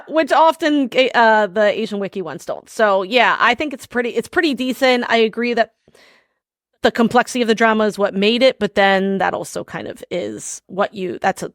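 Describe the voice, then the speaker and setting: A middle-aged woman hosting a podcast in casual chat, her voice husky and a little hoarse from yelling. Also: mid-range voice, no echo, studio quality. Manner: chatty, laughing, reads synopsis aloud. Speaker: podcast host.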